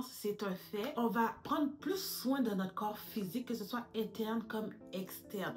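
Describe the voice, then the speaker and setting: A woman, giving advice straight to camera as a lifestyle YouTuber, calling her viewers "girls" and mixing in English words. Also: medium pitch. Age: 30s. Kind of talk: direct-to-camera advice vlog.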